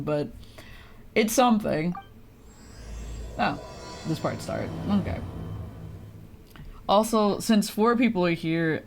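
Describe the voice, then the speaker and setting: Animated woman livestreaming a game. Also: medium pitch. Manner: playful, rambling commentary. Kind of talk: casual gaming livestream chat.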